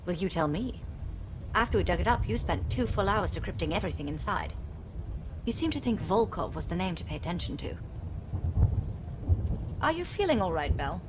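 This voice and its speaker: An actress playing a cool, dryly witty intelligence operative in a cinematic video game. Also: female voice, fairly low pitch, sing-song, moderate pace, long pauses, background noise. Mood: probing, wry. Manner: dry, wry. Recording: loud music behind.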